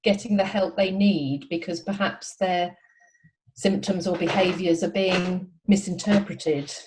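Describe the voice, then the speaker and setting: A woman's voice: on the low side, steady tone, unhurried, darkish tone, slight background noise, poor audio. A woman interviewing a guest in a conversational style.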